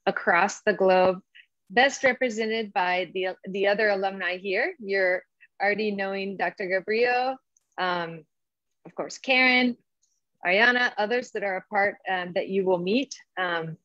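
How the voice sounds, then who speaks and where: A woman delivers informal, encouraging welcome remarks in a dry, close sound. Her phrasing comes with frequent pauses, and the audio is somewhat dull and lo-fi. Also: medium pitch, a bit bright, no echo. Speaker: program director giving welcome remarks.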